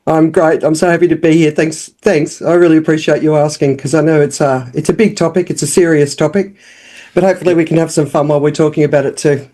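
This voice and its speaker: A woman with an Australian accent, a founder speaking as a podcast guest in a frank, lively, conversational way. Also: low-pitched, fast talker. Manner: lively and frank, with frequent restarts and fillers. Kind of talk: casual interview talk.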